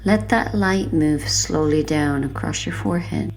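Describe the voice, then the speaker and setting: A woman narrating a guided sleep hypnosis in a soothing, hushed and unhurried manner with long pauses. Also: low-pitched, a little hiss or hum.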